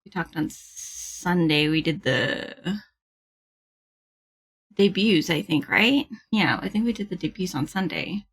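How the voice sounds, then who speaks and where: A woman livestreamer chatting casually with viewers in a bubbly, giggly manner, with a slightly husky voice. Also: fairly low pitch, expressive, takes it slowly. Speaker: livestreamer hosting a gaming stream. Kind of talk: casual stream chat with viewers.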